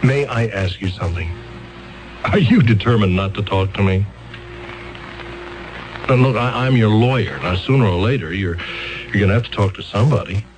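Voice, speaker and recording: An actor playing a fumbling, wordy court-appointed lawyer who hesitates, stammers and clears his throat, in a 1970s radio drama. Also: on the low side, moderate pace, pauses often, faint music behind, lightly acted, poor audio.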